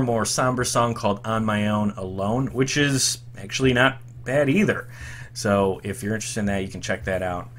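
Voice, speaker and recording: Bright-voiced man hosting a music podcast. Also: fairly low pitch. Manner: eager, fan-like, emphatic. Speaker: podcast host. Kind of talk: enthusiastic retro music commentary. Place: home room with shelves.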